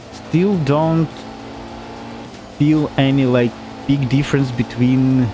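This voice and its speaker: A man giving casual, hesitant commentary as he plays a racing game, with many fillers and restarts. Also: medium pitch. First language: not German. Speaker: gaming YouTuber. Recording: game music playing underneath.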